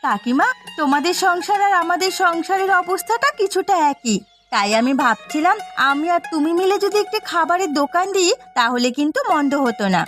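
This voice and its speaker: A woman's voice: very high voice, expressive, normal speed, a bit bright, faint music behind. A woman voicing a friendly, practical, helpful village woman in a fairy-tale cartoon.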